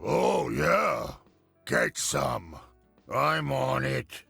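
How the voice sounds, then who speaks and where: A rowdy, jovial big drinker from a video game. His voice is very gravelly and husky, delivered in a slow, slurred swagger that swings up and down with boozy bluster. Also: medium pitch, lively intonation, sharp tone, no echo, faint music behind, exaggerated voice. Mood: rowdy, taunting. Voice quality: gruff, gravelly. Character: boisterous hard-drinking big man, rowdy jovial tavern brawler. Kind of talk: video game character voice lines.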